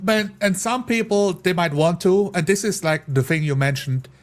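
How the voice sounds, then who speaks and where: A man with a somewhat high voice chats casually in a group. He explains his view informally, in short phrases that he often repeats. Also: moderate pace.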